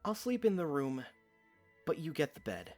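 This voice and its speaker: A woman's voice: sits low, sing-song, moderate pace, a little mellow, soft background music. A woman playing an earnest, bashful, protective young man in an audiodrama, hesitant and dryly understated. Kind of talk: audiodrama acting.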